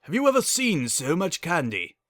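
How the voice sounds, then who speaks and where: Man voicing a stately, expressive noble stallion. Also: on the high side, normal speed, voice pushed up. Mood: courteous, formal. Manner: stately, formal. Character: formal, courteous noble unicorn stallion, a father.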